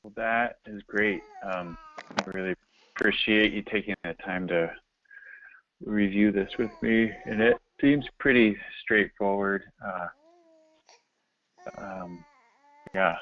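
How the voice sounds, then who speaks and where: Casting: man, deep voice, hesitant casual colleague on a work call, phone-band sound. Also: slow, pauses often. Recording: thin, narrow-band phone line.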